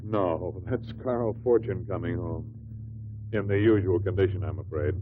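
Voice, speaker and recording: Genial, wry judge, deepish voice, hissy vintage radio recording. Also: male voice, unhurried. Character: genial married male judge, affectionate and wryly amused. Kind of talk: 1950s radio drama acting. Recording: muffled, noisy old 1950 radio recording.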